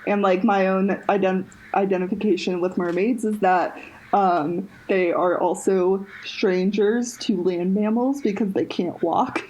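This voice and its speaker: A young woman co-hosting a podcast in casual chat, halting, with frequent restarts and quick laughs between phrases. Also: medium pitch, fairly even intonation, a little mellow, slight background noise, rough recording. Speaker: podcast co-host.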